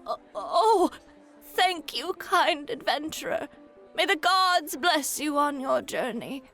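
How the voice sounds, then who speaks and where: A woman's voice: sits high, lively intonation, takes it slowly, fairly bright tone, soft background music, voice pushed up, heavily acted. Woman putting on a trembling, grateful fantasy villager. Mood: tearful gratitude. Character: grateful, tearful villager blessing an adventurer in fantasy tale. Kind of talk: fantasy roleplay acting.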